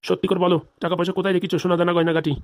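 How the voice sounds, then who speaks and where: A woman's voice: on the low side, little pitch movement, rapid-fire, darkish tone, lightly acted. A woman voicing a weary, exasperated village police officer in a comic cartoon, nagging and accusing.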